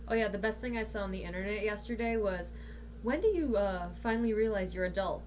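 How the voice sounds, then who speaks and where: A woman's voice: mid-range voice, a little fast, darkish tone. A woman chatting casually as a podcast guest, speaking bluntly and swearing freely. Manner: blunt, profane. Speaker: guest, amateur voice actor. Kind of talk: casual chat. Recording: audible background noise and hum.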